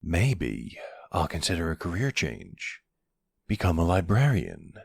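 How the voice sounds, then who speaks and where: Man playing a deep, smug young mafia boss. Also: wildly swooping pitch, gravelly, slightly rough, no echo, studio quality, full character voice. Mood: smug, playful. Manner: teasing, unhurried, smug. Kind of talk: ASMR boyfriend roleplay acting.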